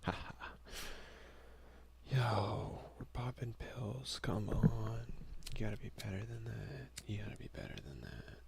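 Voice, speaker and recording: A man doing casual, hushed ASMR gaming commentary, soft-spoken and often slipping into a whisper, close to the mic. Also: fairly low pitch, unhurried, creaky, heavy background noise. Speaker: let's play ASMR video creator.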